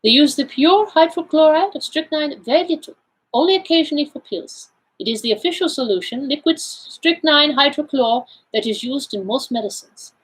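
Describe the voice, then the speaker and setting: A woman voicing a courteous, self-assured Belgian male detective in an audiobook, speaking in a deliberate, formal, explanatory way. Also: sits high, expressive, voice pushed up, poor audio. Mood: calm, assured. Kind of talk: audiobook character dialogue.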